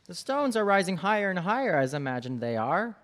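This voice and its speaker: A woman reading the part of an impatient, ambitious king, in a lowered voice. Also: sing-song, brisk, long reverb tail. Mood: commanding. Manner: demanding, impatient. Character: ambitious king, adult man, impatient conqueror.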